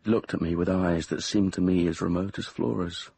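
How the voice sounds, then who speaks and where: A man's voice: low voice, steady tone, normal speed, creaky, warm tone, slight background noise. An actor with a British accent playing an uneasy, evasive young man with a hesitant manner in a radio drama. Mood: grave, unnerved.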